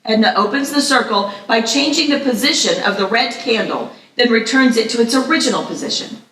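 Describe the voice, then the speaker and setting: A woman narrator reads stage directions from a script at a live event. Her voice sits a bit high, and it reaches the microphone from a distance with clear room echo. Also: expressive, brisk, a bit bright. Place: live festival event, echoey venue. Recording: echoey room, distant mic.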